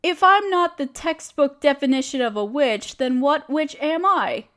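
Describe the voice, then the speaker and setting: A woman's voice: high-pitched, animated, unhurried, fairly bright tone. A woman playing a friendly, genteel witch who chats kindly in quaint, dainty phrases, in an audio roleplay. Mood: kindly, reflective. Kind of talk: scripted audio roleplay speaking to the listener.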